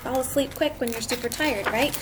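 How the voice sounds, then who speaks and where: A woman reading a picture book aloud to children, expressive and drawn out, with chatty asides to her listeners. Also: high voice, a little fast. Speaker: read-aloud storyteller. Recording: clearly noisy amateur recording.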